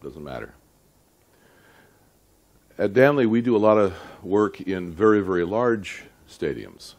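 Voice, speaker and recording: A middle-aged man with a low voice speaks as a lecturer at a technical seminar. He is deliberate and conversational, leaning on key words for emphasis and turning to his listeners with short rhetorical questions. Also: expressive. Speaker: audio engineering lecturer.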